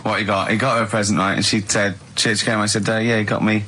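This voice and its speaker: A man's voice: lowish voice, level tone, quick pace, noisy background. A man in comic radio banter, teasing and giggly, often acting out little dialogues. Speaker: radio show co-host.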